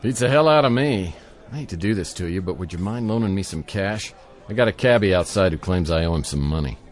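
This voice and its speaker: An American man playing a down-on-his-luck private detective in a noir radio drama, narrating with deadpan, self-mocking dryness. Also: low-pitched, fast talker, long reverb tail. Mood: dry, weary. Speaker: lead character and narrator. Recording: studio radio drama over music. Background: prominent music.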